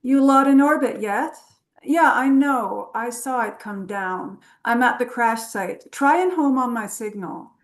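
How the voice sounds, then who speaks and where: A woman playing a flirtatious, knowing adventurer professor in a script table read, with a teasing, unhurried delivery. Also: on the high side, lively intonation. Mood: businesslike.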